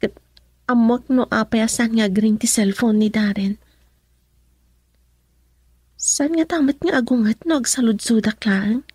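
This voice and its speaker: A young Filipino woman in a radio drama, anxious and doubting about love. Her voice sits high and the sound is dry and close. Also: a little slow, pauses often, a little mellow, slightly rough, dry recording. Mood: uneasy.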